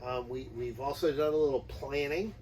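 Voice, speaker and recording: A man's voice: mid-range voice, moderate pace, a little mellow. A man chatting casually and enthusiastically as co-host of a travel vlog with his partner. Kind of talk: casual unscripted chat with partner. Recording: distant mic, noisy ambient background.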